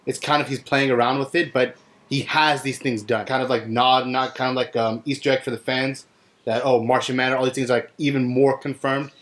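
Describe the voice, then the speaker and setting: A man's voice: mid-range voice, little pitch movement, fairly quick, a bit bright. A man speaking as a panelist, reasoning tentatively through points in a casual discussion.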